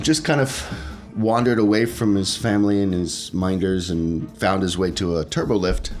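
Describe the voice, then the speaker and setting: A man with a lowish voice talks casually as a player on a tabletop gaming podcast. Faint music plays behind him. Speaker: podcast cast member, tabletop RPG player. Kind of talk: casual chat, describing his game character.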